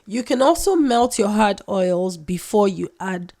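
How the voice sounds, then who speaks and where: A woman's voice: mid-range voice, lively intonation, measured pace, mellow. A woman with a cold, hosting a casual tutorial vlog, chatty and rambling, often correcting herself. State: has a cold. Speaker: skincare maker, channel host.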